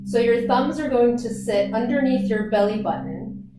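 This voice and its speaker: Calm woman yoga teacher, very echoey room. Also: on the high side, background noise. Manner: calm, unhurried, soothing breath cues. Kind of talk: guided gentle yoga instruction. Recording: very echoey room, distant mic.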